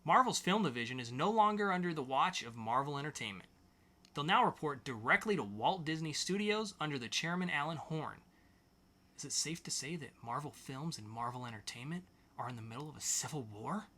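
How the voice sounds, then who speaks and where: A man's voice: on the high side, lively intonation, a little fast, pushed and bright. A man hosting a podcast, reading scripted pop-culture news in an announcer-like way with sarcastic quips. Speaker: podcast host.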